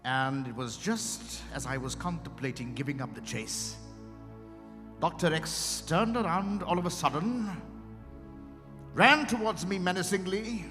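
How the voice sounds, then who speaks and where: An actor playing a dramatic male storyteller, narrating a literary piece in a theatrical, deliberate manner. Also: high voice, expressive. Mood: suspenseful, descriptive. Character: dramatic male storyteller recounting a strange encounter. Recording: echoing hall with music behind. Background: music.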